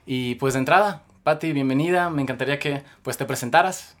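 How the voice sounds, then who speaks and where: A man's voice: mid-range voice, fairly quick. A man with a Mexican accent hosting a podcast and introducing his guest at length with many fillers. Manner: rambling, filler-heavy introductions. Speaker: podcast host. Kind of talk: casual podcast interview.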